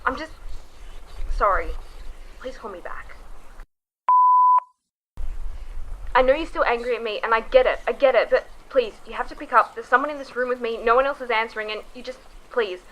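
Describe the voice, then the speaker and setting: Radio drama role: woman playing lonely, pleading young woman, forceful bright tone, slight background noise. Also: high-pitched, poor audio. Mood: pleading, urgent. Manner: hesitant, pleading, emotional. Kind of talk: amateur radio drama acting.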